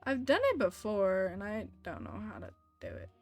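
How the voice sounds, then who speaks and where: A woman's voice: medium pitch, big pitch swings, normal speed. A woman game streamer chatting casually on a livestream, talking to herself in short blunt questions and reactions. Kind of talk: casual livestream chat over gameplay. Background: game music.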